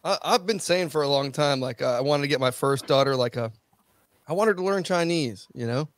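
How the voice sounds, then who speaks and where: A man's voice: a little high, a little fast, dry recording. A man in his thirties co-hosting a podcast, talking in a loose, self-deprecating, slangy way. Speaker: podcast co-host. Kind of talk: casual video call chat.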